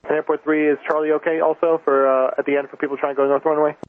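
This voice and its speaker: A man working as a tower controller, giving clearances in radio phraseology over an aviation radio. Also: fairly high pitch, little pitch movement, fast, no room sound. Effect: radio transmission. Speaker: air traffic tower controller. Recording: narrow, lightly noisy aviation radio.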